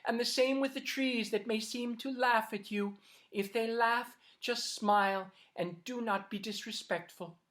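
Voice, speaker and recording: A woman voicing a wise old woman of the forest who gives calm, measured advice. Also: a little high, pitched above the performer's own voice. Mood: advising. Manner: measured, advising.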